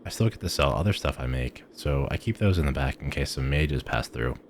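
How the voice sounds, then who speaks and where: A man's voice: very deep voice, expressive, fairly quick, a little hiss or hum. A man playing a friendly, eccentric village alchemist shopkeeper in a fantasy audio roleplay, chatting eagerly to the listener with musing hums. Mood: chatty, explaining.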